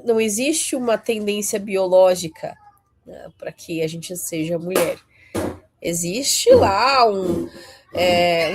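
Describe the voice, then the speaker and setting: A woman's voice: medium pitch, background noise. A woman, giving a conversational talk to fellow Christians, often tagging her sentences with a questioning "né?" and leaning into emphatic rises. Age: 40s.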